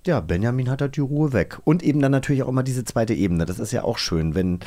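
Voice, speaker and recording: Mellow, dark-voiced man chatting. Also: mid-range voice, expressive, fast talker. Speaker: podcast co-host. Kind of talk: casual chat.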